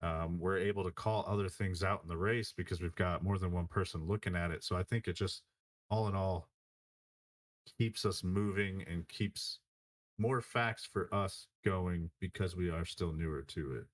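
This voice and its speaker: Deep-voiced calm male guest. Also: normal speed, no room sound. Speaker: podcast guest and co-commentator. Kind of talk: casual podcast chat.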